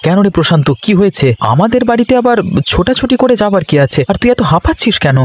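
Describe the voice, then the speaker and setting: A man voicing an excitable, earnest village husband and nephew in cartoon dialogue. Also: sits high, fast. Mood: alarmed.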